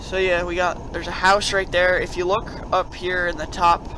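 A man's voice: sits high, flat delivery, moderate pace, background noise. A young man with a cold, chatting casually as a channel host and rambling with many fillers and restarts. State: has a cold. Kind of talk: casual video commentary. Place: at home.

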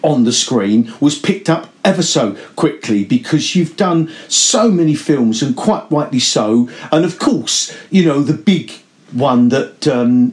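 A man hosting a radio show, interviewing a guest, effusive and full of fillers. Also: mid-range voice.

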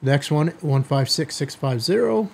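A middle-aged man hosting a hobby video, giving a product demonstration to camera in a husky voice. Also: a little high, expressive, unhurried. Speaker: host of a ham radio video channel. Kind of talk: product test demo to camera. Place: small indoor studio room.

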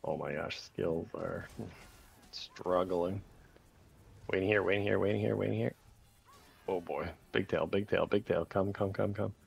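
Gravelly deep man calling raid commands. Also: steady tone, measured pace, pauses often, soft background music. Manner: clipped, repeats each command in bursts with countdowns. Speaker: raid leader calling group moves. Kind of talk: rapid shot-calling over voice chat.

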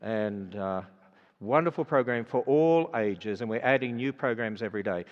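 A man's voice: fairly low pitch, normal speed. An older man with an Australian accent, closing a sermon and then making announcements in an earnest, conversational way with many fillers. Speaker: speaker. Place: large auditorium. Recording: dull sound with long hall reverb.

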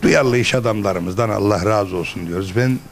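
A man's voice: fairly low pitch, moderate pace, gravelly, a little hiss or hum. A middle-aged man presenting a local television program as host, speaking into a handheld microphone. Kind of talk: presenting interview with rhyming sponsor thanks. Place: busy restaurant dining room.

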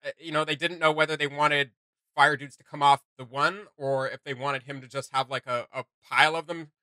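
A man's voice: fairly high pitch, fairly even intonation, brisk, bright, forceful tone, dry recording. A man giving casual, chatty reaction commentary as a host, often feeling his way through a phrase. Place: small home gaming room, indoors.

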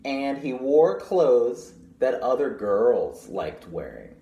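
A young man reading a picture book aloud to children, pausing to explain things simply and ask questions. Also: medium pitch, sing-song, warm tone, lo-fi sound. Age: young adult. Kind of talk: storytime read-aloud with explanatory asides. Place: small room at home, indoors. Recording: roomy echo with clear background noise.

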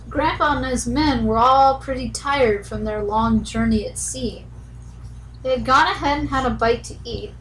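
Casting: female bedtime storyteller, folksy, slightly high voice, faint background noise. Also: fairly bright tone, low-quality recording. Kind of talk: bedtime story narration, scripted.

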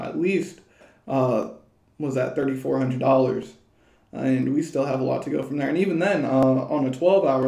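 A young man talks through market charts in a loose, rambling way, full of fillers and restarts. His voice sits a little high and has a mellow, slightly hoarse tone. The sound echoes around a small home room. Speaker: crypto chart analyst. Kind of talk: casual market commentary over charts.

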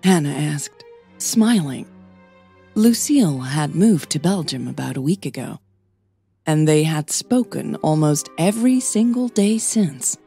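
A woman's voice: low voice, warm tone, breathy edge. A woman reading scripted fiction as the narrator of an audio drama podcast.

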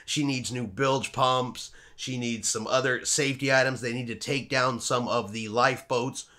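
A man's voice: medium pitch, fairly even intonation, moderate pace, pushed and bright. A middle-aged man hosting a cruise news vlog, chatting upbeat and casual to the camera from a room at home. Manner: upbeat, chatty, talks with his hands. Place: home room with shelves and a wall map, indoors.

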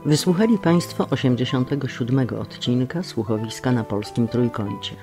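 A man's voice: medium pitch, normal speed. A man working as an announcer, reading scripted radio drama credits. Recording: music under the voice.

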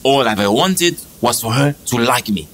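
A man playing an excitable, eccentric stoner cosmic engineer in a comedy audio drama, rambling, slangy and melodramatic. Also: medium pitch, moderate pace. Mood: dejected. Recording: clearly noisy track.

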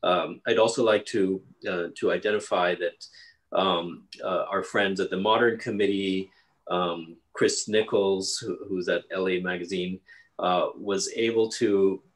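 Deep-voiced man, measured and hesitant. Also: fairly even intonation. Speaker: architect guest. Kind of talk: panel conversation. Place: living room. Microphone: video call.

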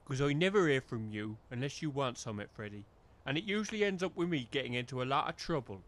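A man's voice: medium pitch, animated, fast talker, a bit bright, no echo, close to an everyday voice. A man playing a weary, wary village working man who grumbles in rustic dialect, in a comedy audio drama. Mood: grumbling.

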